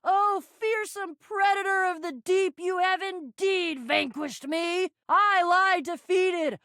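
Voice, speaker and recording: High, animated scrappy rapscallion adventurer. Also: female voice, moderate pace, no room sound, studio quality. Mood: grandiose mock pleading. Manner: animated, wisecracking, theatrical. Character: scrappy, sarcastic young female rapscallion adventurer. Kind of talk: video game character dialogue.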